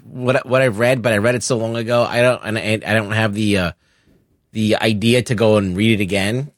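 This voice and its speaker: A man's voice: on the low side, fairly even intonation, a little fast, dry recording, studio quality. A man co-hosting a podcast, talking casually and conversationally. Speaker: podcast co-host. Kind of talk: casual chat.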